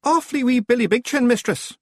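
A man's voice: extremely high pitch, brisk, no room sound, voice pushed up. A man voicing a very small, clever and courteous male fairy piper with a Scottish accent, in a storybook reading. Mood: polite, admiring. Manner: careful, courteous.

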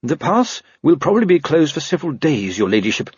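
Audiobook: a man playing a proper, anxious guide, husky, dull dry sound. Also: fairly high pitch, sing-song, a little fast, darkish tone, dry recording, pitched above the performer's own voice, heavily acted. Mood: urgent. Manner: formal, deferential.

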